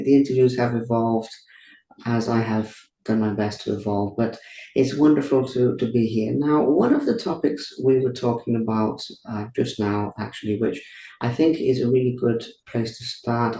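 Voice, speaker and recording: A man's voice: mid-range voice, creaky, mellow. Welsh man hosting, echoey room. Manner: effusive and grateful, thanking at length. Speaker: podcast host. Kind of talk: podcast interview introduction and questions. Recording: echoey room with faint hiss.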